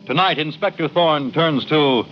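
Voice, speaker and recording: An American man narrating an old-time radio crime drama as announcer, measured and dramatic. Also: a little high. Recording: dull vintage radio recording. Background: dramatic music.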